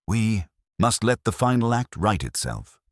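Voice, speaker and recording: A man voicing a calm, courteous police inspector with a mild, quietly ironic manner in an audio mystery. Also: on the low side, a little slow, no echo, clean studio sound, pitched above the performer's own voice. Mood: quietly measured. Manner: mild, pleasant, quietly pointed.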